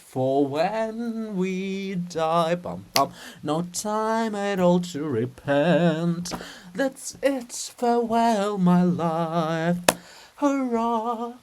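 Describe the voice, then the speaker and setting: A man voicing a gleefully morbid farce chorus, declaiming in drawn-out, exclamatory theatrical style. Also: high voice, a little hiss or hum, voice pushed up. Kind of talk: theatrical dramatic reading.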